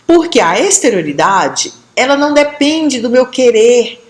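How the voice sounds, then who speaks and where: A woman from Brazil teaches a sociology lesson in a fairly high voice. She lays out each idea patiently, often pausing to check in with quick tag questions. The room around her gives the voice a noticeable echo. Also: big pitch swings. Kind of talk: explanatory classroom lesson.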